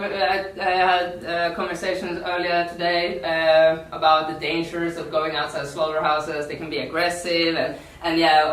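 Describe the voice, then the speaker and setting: A woman from Norway with a Norwegian accent gives an informal workshop talk in non-native English, halting and repeating words. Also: fairly low pitch. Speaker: activist workshop speaker. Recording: echoey room, distant mic.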